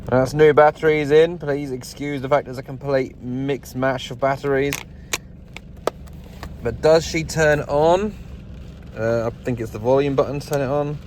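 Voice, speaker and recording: A man's voice: medium pitch, steady tone, measured pace, a little mellow, slight background noise. A British man chatting casually and informally to camera as a vlogger, sitting inside a vehicle. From United Kingdom. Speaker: vlogger and radio hobbyist. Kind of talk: casual vlog chat to camera. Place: inside a parked pickup truck.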